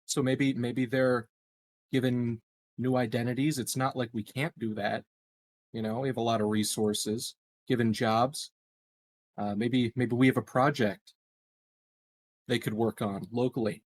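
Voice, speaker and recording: A man's voice: medium pitch, level tone, measured pace, long pauses, darkish tone, dry recording. A man speculating casually as a player in a tabletop roleplaying game.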